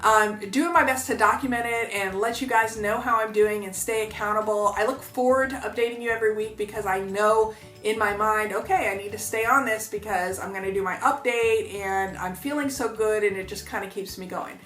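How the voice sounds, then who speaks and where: A woman's voice: mid-range voice, steady tone, a little fast, fairly bright tone, roomy sound, faint music behind. A woman in her fifties vlogging in a chatty, upbeat way, full of fillers and asides to her viewers. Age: 50s. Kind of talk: casual talk to camera.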